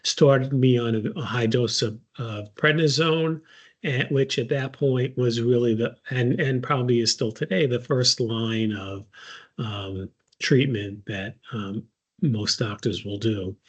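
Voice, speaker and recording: An older man in his sixties speaks at an even, middle pitch. He tells his own story slowly and conversationally, pausing often and filling the gaps with ums and uhs. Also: husky. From United States. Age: 60s. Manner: unhurried, many fillers and pauses. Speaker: patient advocate. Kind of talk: personal story, casual talk.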